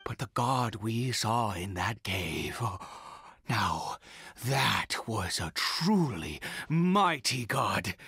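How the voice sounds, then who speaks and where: A man playing a fanatical, unhinged father devoted to a mysterious god in a video game, speaking in a theatrical, halting way with emphatic swells. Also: fairly low pitch, big pitch swings, vocal fry, bright, forceful tone, slightly rough, heavily acted. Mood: awed, fervent. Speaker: voice actor. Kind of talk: dramatic game dialogue acting.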